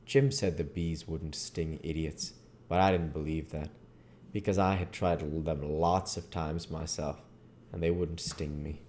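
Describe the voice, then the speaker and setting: Low, mellow man reading aloud. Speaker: reader and channel host. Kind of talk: storybook narration. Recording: audible background noise.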